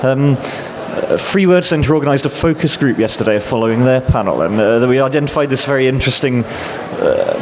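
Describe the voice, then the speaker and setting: Man moderating a panel, street noise. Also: medium pitch. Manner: thoughtful, long framing questions. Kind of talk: panel discussion. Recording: loud traffic noise behind.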